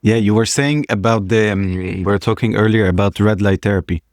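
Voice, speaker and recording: A man's voice: on the low side, fairly quick, no room sound, studio quality. A man casually interviewing guests as a podcast host.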